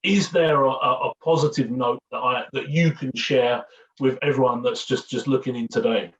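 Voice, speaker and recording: A man's voice: mid-range voice, steady tone, normal speed, a bit bright. A man hosting an informal fan Q&A, chatty and jokey with rambling, repeated phrases, on a muffled, echoey, distant recording. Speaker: host of a fan Q&A.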